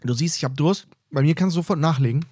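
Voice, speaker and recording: A man's voice: on the high side, fast, darkish tone, breathy edge, dry recording, studio quality. A man co-hosting a casual podcast from a training camp, teasing and joking with his co-host.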